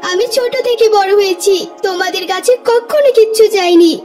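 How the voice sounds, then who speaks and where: An eager young cartoon schoolboy acted out by a performer, with an extremely high voice. He is earnest and headstrong, and music plays behind him. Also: brisk, darkish tone. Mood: stubborn, angry. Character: eager young schoolboy, pleading then stubbornly demanding. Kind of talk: cartoon voice acting.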